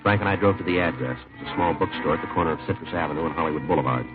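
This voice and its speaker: A tinny vintage radio recording with music behind it carries a male police detective. He talks fast and clipped, in a deep, matter-of-fact deadpan, all business. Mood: deadpan, factual. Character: terse, businesslike male police sergeant detective narrating his case. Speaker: detective and narrator. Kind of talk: 1950s radio crime drama acting and narration.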